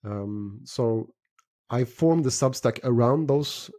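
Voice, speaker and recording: Man from sweden, middle-aged, mellow tone, measured interview talk, dry sound. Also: medium pitch, no echo. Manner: measured, with frequent fillers and restarts. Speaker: guest investor. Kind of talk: interview answers.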